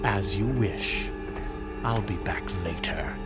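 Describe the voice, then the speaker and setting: An actor playing a smug, scheming guard commander in a video game cutscene. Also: male voice, sits low, slow pace, over loud music.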